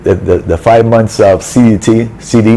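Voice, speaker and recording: Travel vlogger, male, low and warm, exasperated storyteller, faint noise behind. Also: normal speed. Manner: exasperated, animated retelling with quoted dialogue. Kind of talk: casual storytelling rant. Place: apartment building in a city.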